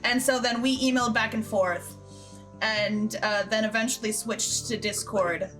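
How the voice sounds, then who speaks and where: Woman, high bright voice, casual host chat, giggly, animated storytelling. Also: moderate pace, low-quality recording. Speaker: host and creator of an audio drama show. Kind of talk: casual behind-the-scenes Q&A chat. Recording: background music under the voice. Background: soft instrumental game soundtrack music.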